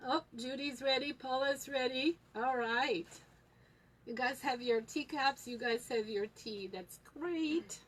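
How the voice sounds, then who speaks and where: A woman with a Polish accent hosting a live tutorial on tea leaf reading, talking slowly with many fillers and pauses to viewers. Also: high-pitched, rough recording. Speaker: tea shop host teaching tea leaf reading.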